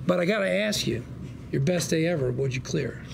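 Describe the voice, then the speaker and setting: An actor playing a slick, fast-talking con-artist lawyer at a drama table read, full of persuasive, slangy patter. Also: male voice, fairly high pitch, expressive, gravelly, noisy background. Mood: sly persuading. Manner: glib, persuasive patter with colourful slangy quips.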